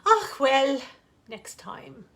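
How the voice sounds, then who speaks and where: Woman voicing a regal, high-voiced fairy queen. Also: very animated, extremely slow, a bit bright, heavily acted. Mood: coaxing. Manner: regal, emphatic, old-fashioned phrasing. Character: grand, decisive fairy queen, whimsically archaic.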